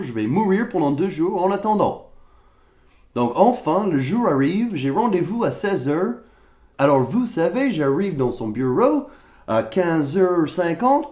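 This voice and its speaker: A man's voice: on the high side, a little mellow. A young American man with an American accent, speaking French as his second language, ranting sarcastically to camera while sick with a cough. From United States. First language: English. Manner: exasperated, sarcastic complaining. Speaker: vlogger. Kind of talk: comedic rant to camera. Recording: echoey room.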